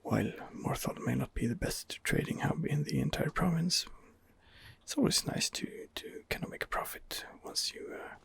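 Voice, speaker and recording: A man's voice: a little high, moderate pace, creaky, a bit bright, slightly rough. A man giving whispered ASMR commentary on a game as its host, in a hesitant, rambling manner. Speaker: gaming video host.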